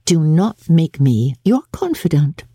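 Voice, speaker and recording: A woman voicing a witty young gentlewoman, arch, playful and articulate, in a dramatic storybook reading. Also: low-pitched, big pitch swings, slow, husky, no room sound, heavily acted. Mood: playful teasing. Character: witty, spirited young gentlewoman, teasing and questioning.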